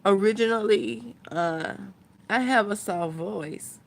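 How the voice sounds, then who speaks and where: A woman's voice: medium pitch, slow. A woman chatting casually to her viewers as a vlogger, thinking aloud in a rambling way.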